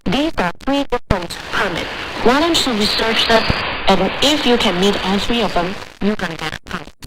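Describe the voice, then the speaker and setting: A woman's voice: medium pitch, animated, moderate pace. A woman from China with a Chinese accent, answering interview questions earnestly about her factory. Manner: earnest, slightly non-native phrasing. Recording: off-air shortwave radio with heavy noise.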